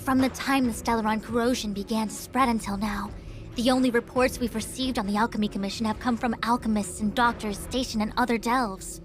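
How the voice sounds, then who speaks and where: A woman playing a composed, self-assured young diviner in a video game, speaking in a formal, measured, authoritative way. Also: fairly high pitch, steady tone, soft background music, lightly acted. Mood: solemn, certain. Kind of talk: scripted video game dialogue.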